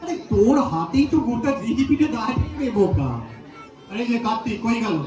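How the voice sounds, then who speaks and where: High-pitched teasing father god played by a man. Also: expressive, brisk. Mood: teasing, soothing. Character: Shiva, easygoing teasing father god of a squabbling family. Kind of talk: amateur Bengali stage play. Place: community stage. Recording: echoey hall, distant mic, loud music, crowd.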